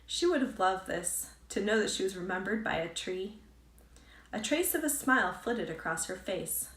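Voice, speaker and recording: A woman's voice: mid-range voice, lively intonation, moderate pace, a bit bright. Woman reading a story aloud, echoey and distant. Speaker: author reading own book aloud. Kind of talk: scripted storybook narration, read aloud. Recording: roomy echo, distant from mic.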